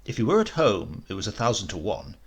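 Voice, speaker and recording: A man reading a literary short story as an audiobook narrator, in a measured, expressive way. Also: fairly low pitch, breathy edge.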